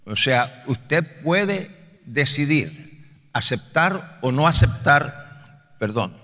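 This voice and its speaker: Man, preacher, forceful bright tone, mid pitch, didactic, emphatic repetition, scripture teaching, long hall reverb. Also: lively intonation, extremely slow. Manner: didactic, emphatic, repeats key phrases for stress. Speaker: preacher teaching a Bible study. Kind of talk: expository sermon with scripture reading.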